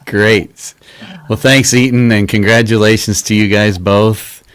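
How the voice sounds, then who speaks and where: A man's voice: on the low side, fairly even intonation, unhurried, fairly bright tone, smooth. A man casually hosting a livestream conversation. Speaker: livestream host.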